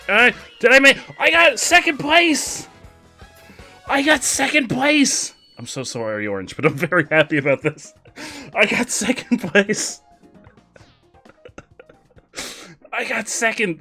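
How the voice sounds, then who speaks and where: A young man casually commenting on a kart race while chatting with his viewers, excitable and quick to laugh. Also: high voice, faint music behind. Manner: excitable, giggly, chats with viewers. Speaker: streamer.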